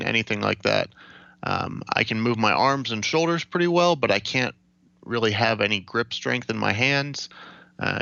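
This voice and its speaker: Low, gravelly young American man. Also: pushed and bright, no echo, lo-fi sound. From Ohio, United States. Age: late 20s. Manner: measured, matter-of-fact. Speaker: interview guest. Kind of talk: recounting personal experience in an interview.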